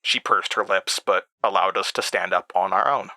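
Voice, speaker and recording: Rattled, stammering horror drama narrator. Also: male voice, low-pitched, steady tone, bright, forceful tone. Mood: uneasy recounting. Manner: halting, stammering, nervous self-interrupting. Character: anxious, rattled man recounting eerie childhood memories to recorders. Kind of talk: first-person horror audio drama monologue.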